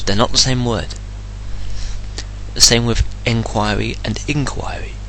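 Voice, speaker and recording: Ranting English man, bright edgy voice. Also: lowish voice, a little hiss or hum, low-quality recording. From England. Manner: indignant, blunt, swears freely. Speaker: vlogger. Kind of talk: ranting solo vlog.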